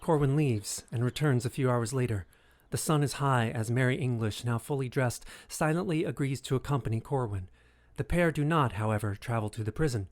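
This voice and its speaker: Measured male narrator, medium-pitched. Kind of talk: scripted dramatic narration.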